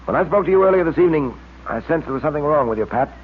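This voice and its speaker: An actor playing a calm, confident detective hero in an old radio crime serial. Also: male voice, on the high side, very fast, natural voice. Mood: explanatory. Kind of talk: 1940s radio acting. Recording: tinny, faintly noisy old radio recording.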